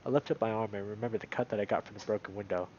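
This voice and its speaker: A man streaming a visual novel, moving between read-aloud narration and casual, animated commentary. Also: fairly low pitch, very fast, lo-fi sound, slight background noise.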